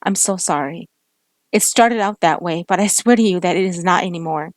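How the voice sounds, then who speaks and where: A woman voicing a remorseful young man who pleads softly with his lover. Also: on the low side, moderate pace, dry recording, lightly acted. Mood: pleading. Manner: soft, pleading.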